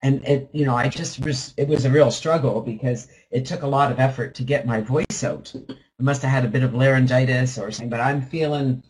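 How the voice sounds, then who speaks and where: An upbeat man talks on a live webinar call, chatty and rambling, often restarting his sentences. His voice sits at an ordinary middle pitch for a man, with a slight lingering cough. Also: a little fast, darkish tone, low-quality recording. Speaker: webinar host.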